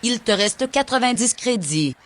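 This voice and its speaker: A woman's voice: fairly high pitch, lively intonation, a little slow, slight background noise. A woman playing a businesslike clerk in an audio drama, speaking in a matter-of-fact way. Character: businesslike female clerk handling camp credits.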